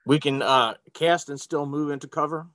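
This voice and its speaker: A man's voice: on the high side, moderate pace, fairly bright tone, no room sound, studio quality. A man talking casually as a player in a tabletop game, stating his moves. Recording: very noisy audio with clicks.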